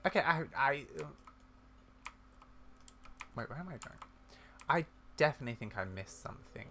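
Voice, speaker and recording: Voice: mid-range voice, lively intonation, normal speed, pushed and bright. A gamer giving casual let's play commentary, rambling and thinking aloud in a confused way, sometimes reading quest dialogue aloud. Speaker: gaming video creator. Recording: faint background noise with keyboard typing.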